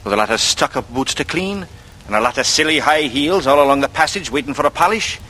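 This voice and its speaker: A man's voice: mid-range voice, animated, fast, pushed and bright. A man playing a glib, brooding young drifter with a murderous streak, in theatrical, dreamy 1940s radio thriller style. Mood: agitated, bitter. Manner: theatrical speechifying, rambling and dreamy. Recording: noisy vintage radio drama recording.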